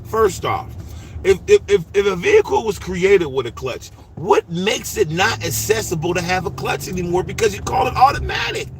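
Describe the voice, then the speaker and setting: A man's voice: high-pitched, moderate pace. A man in his forties talking casually in a rambling, repetitive way from inside a vehicle. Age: 40s. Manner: rambling, animated and repetitive, restarts often. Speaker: livestreamer and driver. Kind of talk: casual monologue.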